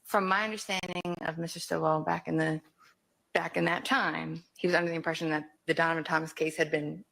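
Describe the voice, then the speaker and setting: A woman's voice: deep voice, little pitch movement, brisk, creaky, a bit bright, rough recording. A woman giving court testimony as a witness, hesitant and hedging in how she answers. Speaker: witness on the stand.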